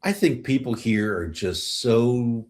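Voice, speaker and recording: A man's voice: mid-range voice, lively intonation, takes it slowly, darkish tone. A man hosting a casual sports discussion, speaking bluntly and informally, repeating phrases for emphasis. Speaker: sports podcast host.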